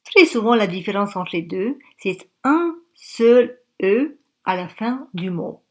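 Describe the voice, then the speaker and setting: A woman in her forties leads a beginner language lesson. She speaks at a very unhurried pace, laying out each word carefully. Her pitch sits in the middle of the usual range. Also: expressive. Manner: slow, careful classroom delivery. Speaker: French teacher.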